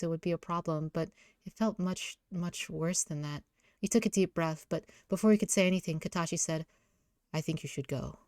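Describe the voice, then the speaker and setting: A woman's voice: fairly low pitch, darkish tone, no room sound, studio quality. A woman reading story narration aloud in a visual novel readalong.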